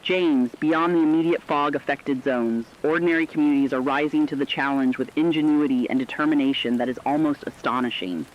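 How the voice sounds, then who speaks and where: A man's voice: fairly high pitch, fairly quick, hardly any pauses, natural voice. A man playing an earnest field correspondent, delivering a formal, articulate scripted emergency report for a radio drama. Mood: earnest, serious. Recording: slightly dull lo-fi radio sound.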